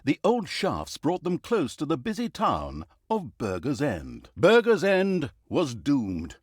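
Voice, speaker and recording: An actor playing a sarcastic, pompous storyteller, narrating a fantasy video game with dry, mock-dramatic humor. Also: male voice, a little high, wildly swooping pitch, slow, slightly rough, dry recording, full character voice. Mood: mock-epic drama. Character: sarcastic, pompous male storyteller of evil deeds. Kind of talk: theatrical scripted fantasy narration.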